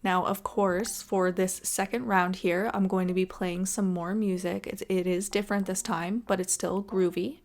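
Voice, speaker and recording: A woman's voice: medium pitch, steady tone, normal speed, hardly any pauses. A woman hosting a gaming video with casual, chatty, encouraging commentary in an informal way. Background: background music.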